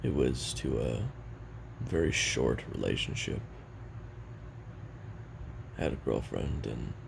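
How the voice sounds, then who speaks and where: A man performs a brooding hitman confessing on tape in an audio drama. His voice is extremely low and heavily fried, halting and weary with regret, yet it moves up and down a good deal as he recounts his past. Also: noisy background, exaggerated voice. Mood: guarded, awkward. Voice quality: gravelly, creaky. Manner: halting, brooding, casually profane. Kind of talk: audio roleplay monologue, taped confession.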